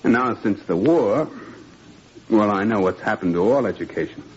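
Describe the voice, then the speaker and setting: An actor in a 1940s radio drama playing a weary, principled French former professor, earnest and wryly defiant. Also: male voice, on the low side, lively intonation, normal speed, natural voice. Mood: friendly, concerned. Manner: earnest, wry, quietly defiant. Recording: dull, noisy 1940s radio recording.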